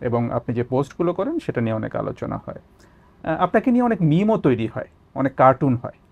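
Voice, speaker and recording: A man's voice: medium pitch, sing-song, fast, creaky. A man interviewing a guest, asking polite questions with some hesitant fillers. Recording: tinny phone-like sound.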